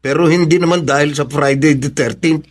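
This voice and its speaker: A man's voice: on the high side, steady tone, fairly quick, close to an everyday voice. A man playing a level-headed, matter-of-fact young jobseeker in a Filipino radio drama, mildly scolding. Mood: calm, resigned.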